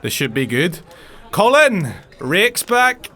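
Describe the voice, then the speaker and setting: An actor playing a loud, crude tavern thug in a dark fantasy audio drama, calling out taunts. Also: male voice, high voice, sing-song, slow pace, heavily acted. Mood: jeering.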